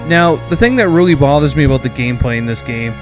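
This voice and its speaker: A man presents a video game review as a podcast host, speaking at an average pitch. His delivery mixes prepared narration with off-the-cuff commentary. Music plays clearly behind his voice. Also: expressive, fast.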